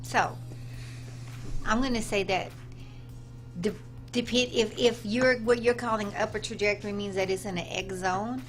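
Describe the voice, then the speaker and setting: A woman's voice: mid-range voice, steady tone, normal speed, long pauses, faint music behind. Emphatic woman explaining as a radio guest. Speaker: insurance agent, studio guest. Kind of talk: explanatory radio interview talk. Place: radio studio.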